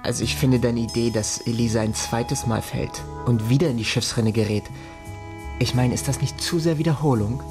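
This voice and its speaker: A man's voice: mid-range voice, fairly even intonation, normal speed, music in the background, natural voice. An actor playing a serious, reproachful man in radio drama dialogue, speaking plainly. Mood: doubtful. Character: earnest, reproachful man, a disillusioned lover and colleague.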